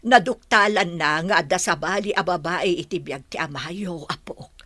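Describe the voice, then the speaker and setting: A woman's voice: lowish voice, normal speed, bright, forceful tone, no room sound. A woman with an Ilocano accent playing a concerned grandmother in a radio drama. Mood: concerned, gentle. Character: grandmother consoling her troubled daughter and grandchild.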